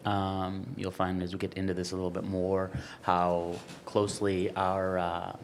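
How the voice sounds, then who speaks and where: An American man co-hosting a podcast, chatting casually about his own story in informal, halting phrases with a joking streak. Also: low-pitched. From United States, grew up in Wisconsin. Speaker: podcast co-host and golf business co-founder. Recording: faint background ambient noise.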